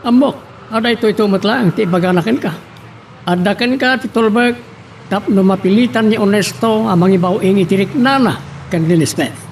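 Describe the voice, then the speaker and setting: Man acting high-pitched, stern scheming boss. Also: normal speed. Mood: stern, pressing. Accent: Ilocano. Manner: pressing, commanding. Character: stern, scheming wealthy boss and protective parent. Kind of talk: Ilocano audio drama acting. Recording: clear background hiss and noise.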